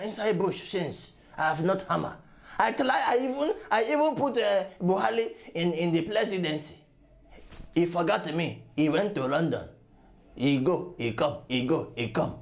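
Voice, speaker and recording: A Nigerian-accented man playing an envious, aggrieved village witch doctor who exclaims, wails and repeats himself in a creaky voice. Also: sits high, full character voice. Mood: envious lament.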